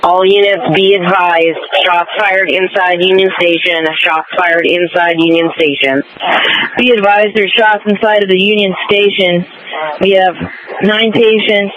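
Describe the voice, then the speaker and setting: A woman with a mid-pitched voice dispatches over a muffled radio. She issues procedural alerts and repeats key phrases, with crowd noise behind. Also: steady tone, measured pace. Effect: radio transmission.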